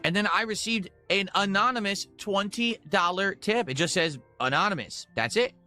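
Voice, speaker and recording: A man's voice: sits high, lively intonation, normal speed, sharp tone, soft background music. A man chatting casually to his viewers on a gaming livestream in a rambling, audience-focused way.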